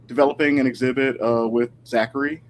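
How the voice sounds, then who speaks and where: A man's voice: medium pitch, steady tone, fairly quick, a little hiss or hum. A man talking casually and thoughtfully as a guest historian in an informal interview, picking his words tentatively over a video call.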